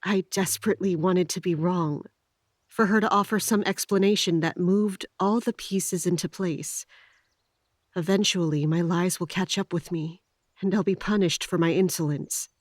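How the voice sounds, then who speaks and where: A woman playing a guarded, troubled military captain in an audio drama, speaking and narrating in a restrained, formal, deliberate way. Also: fairly low pitch, steady tone, no room sound, studio quality, lightly acted. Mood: grave, confessional. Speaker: lead actor and first-person narrator. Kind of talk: audio drama acting with inner monologue.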